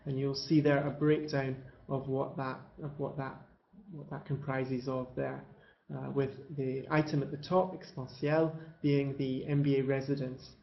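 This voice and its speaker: A man presenting a business school admissions webinar, speaking in a measured way with frequent fillers and restarts. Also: mid-range voice, level tone, vocal fry, a little hiss or hum. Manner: measured, halting with many fillers. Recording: echoey, dull lo-fi webinar audio.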